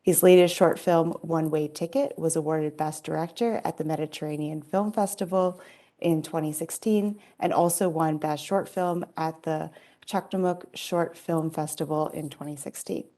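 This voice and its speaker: A woman's voice: sits low, fairly even intonation, normal speed, dark tone. A woman hosting an interview, reading a polite, formal introduction and asking questions. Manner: polite, formal, reads from notes. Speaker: host, research assistant.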